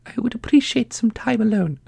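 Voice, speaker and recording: A man's voice: high-pitched, animated, a little fast, vocal fry, darkish tone, slightly rough, no room sound, full character voice. A man voicing an ancient divine younger sibling, wounded and aching, in an audio roleplay drama. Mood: sad, tender.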